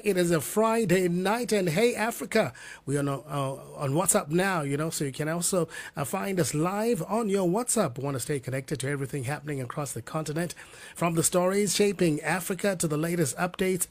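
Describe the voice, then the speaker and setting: Upbeat radio host guy, bit higher voice, talks to the mic with some ums. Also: brisk. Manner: upbeat, with fillers and restarts. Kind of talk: radio talk show hosting.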